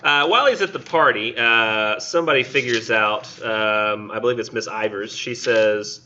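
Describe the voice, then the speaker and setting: A man's voice: on the low side, moderate pace, pushed and bright. A man teaching a college literature class, retelling a story in a loose, conversational way with acted-out quotes. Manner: casual and conversational, acts out characters in quotes. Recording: muffled classroom recording.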